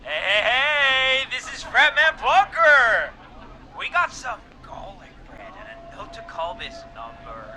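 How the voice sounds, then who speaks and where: A man's voice: extremely high pitch, big pitch swings, a little slow, heavily acted. An actor playing a rowdy, beer-loving young fraternity boy in a video game, with boisterous, slangy party talk. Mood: amused, rowdy. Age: young adult. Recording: noisy call with loud party crowd.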